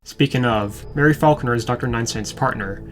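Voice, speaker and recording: A man's voice: mid-range voice, fairly quick, slight background noise. A man reading scripted commentary as a video reviewer, with a wry, sarcastic manner. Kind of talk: scripted commentary over show clips.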